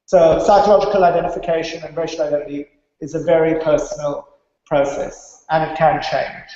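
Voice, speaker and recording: Conference speaker, male, from India, higher-pitched but mellow, didactic delivery, heavily reverberant hall recording. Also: fairly even intonation, a little fast. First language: English. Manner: didactic, stresses key points with check-in tags. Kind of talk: conference presentation. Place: large reverberant conference room. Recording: very echoey hall, dull muffled sound.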